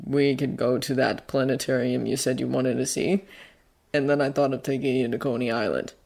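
A woman voicing a fond, teasing male guardian in a story, with a dry, low-key manner. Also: fairly even intonation, normal speed, gravelly, a little mellow, heavily acted. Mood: warm, curious.

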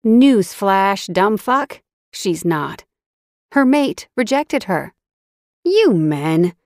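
A woman's voice: medium pitch, lively intonation, unhurried, no room sound, clean studio sound, pitched above the performer's own voice. A woman voicing a blunt, sarcastic woman who scolds a friend over the phone. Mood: scornful.

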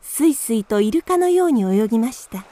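A woman's voice: on the high side, lively intonation, fairly quick, noisy background. A woman narrator reading scripted storybook narration for a radio drama.

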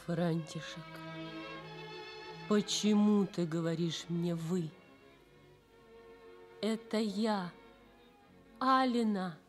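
An actress playing a devoted nurse in love in a Soviet radio drama, speaking softly and intimately. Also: female voice, on the low side, drawn-out words, long pauses, faint music behind. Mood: tender.

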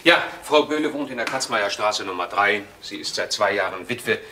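A police assistant in an old crime drama, reporting in a forceful, low male voice. He is factual and orderly, with faint noise on the soundtrack. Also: expressive, moderate pace. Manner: matter-of-fact, orderly.

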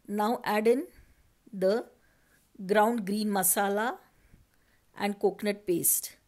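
A woman's voice: mid-range voice, a little mellow. A woman from India, narrating a recipe step by step as a cooking channel host over her footage. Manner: slow, step-by-step instructing.

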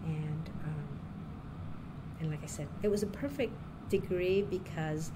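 A middle-aged woman with a fairly low voice recalls her life in a relaxed, rambling way, full of small fillers and restarts. The recording carries a clear, steady background noise. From Puerto Rico, raised near Chicago. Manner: unhurried, chatty, with many ums and restarts. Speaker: interviewee, university diversity director. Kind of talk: oral history interview.

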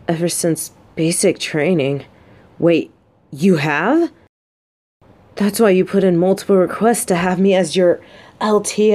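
Female soldier bodyguard for romance audio played by a woman: mellow, slow, halting delivery, tough front over a bashful, smitten heart. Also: on the low side. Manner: halting, flustered, soft-spoken. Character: adult female military bodyguard, gruff but shy, secretly lovestruck. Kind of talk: scripted romantic audio roleplay.

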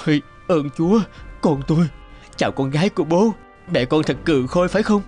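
A devoted, anxious young father from a cartoon drama, acted by a man. His voice sits high for a man and is earnest and full of feeling. Music plays clearly behind him. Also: lively intonation, brisk, a little mellow, natural voice. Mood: overjoyed, urging. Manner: earnest, emotive. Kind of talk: dubbed cartoon drama acting.

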